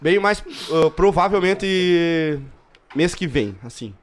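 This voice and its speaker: A man's voice: fairly high pitch, animated, takes it slowly, slight background noise. A man in his twenties from southern Brazil, a podcast guest talking in a hyper, jittery, joking way. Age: 20s. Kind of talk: casual chat.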